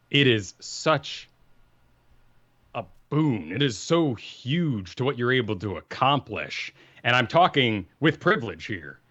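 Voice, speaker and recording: A man co-hosting a gaming podcast, talking energetically and assertively in a deep voice into a studio microphone. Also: pushed and bright, no echo, muffled recording. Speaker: podcast co-host. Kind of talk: casual gaming podcast talk.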